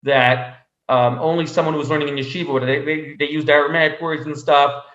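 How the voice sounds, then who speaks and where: Echoey, halting man telling personal stories. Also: on the high side, flat delivery, a bit bright. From Canada (Montreal). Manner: halting, repeats words as he goes. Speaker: interview guest. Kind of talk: conversational personal storytelling. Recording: roomy echo, slightly dull sound.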